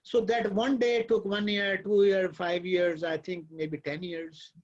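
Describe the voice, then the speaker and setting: A man hosting an online lecture session, talking in a halting way with many fillers and restarts over a video call. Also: high voice, flat delivery, lo-fi sound. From Pakistan. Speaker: host and professor.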